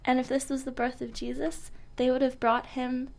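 A woman with a fairly high voice and plenty of vocal fry, co-hosting a radio show. She talks in a loose, casual, joking way, as if making it up as she goes. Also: flat delivery, normal speed, darkish tone. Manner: giggly, joking, loose and unscripted. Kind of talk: casual co-hosted radio chat. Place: radio studio.

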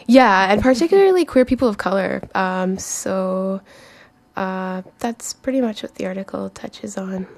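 A woman guest talks casually in a radio studio, scattering fillers through her answers. Her voice has a gravelly fry. It sits at a middle pitch. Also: unhurried, a little mellow. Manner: chatty, frequent "like" and "um". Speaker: guest writer.

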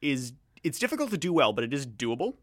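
A man hosting a radio show, chatting casually about films in a rambling, digressive way with halting restarts. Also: medium pitch, sing-song, no echo, clean studio sound. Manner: rambling, digressive, halting with repeated false starts. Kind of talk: casual film review chat.

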